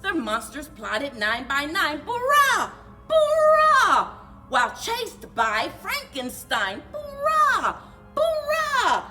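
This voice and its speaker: A woman reading a children's storybook aloud in an animated, rhythmic singsong for a library story time. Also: extremely high pitch, takes it slowly, faint music behind. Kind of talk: children's storybook read-aloud with chanted refrains.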